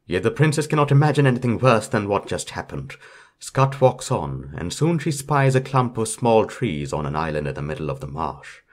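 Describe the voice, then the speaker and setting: Low-voiced man narrating a fairytale. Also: very animated, moderate pace. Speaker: storyteller.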